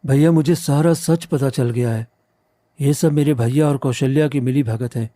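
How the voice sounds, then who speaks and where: Man playing a mellow, earnest younger brother. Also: mid-range voice, moderate pace, close to an everyday voice. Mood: urgent. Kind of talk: Hindi cartoon story acting.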